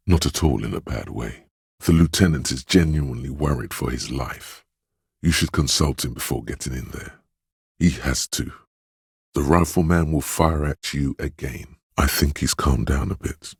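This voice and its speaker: A man voicing a grave, compassionate inner voice of empathy, narrating a game in a measured, intimate, solemn way. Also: a little mellow, no room sound, studio quality, exaggerated voice. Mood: solemn, tender. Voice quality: very deep, velvety, husky. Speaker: game voice actor. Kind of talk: scripted second-person game narration.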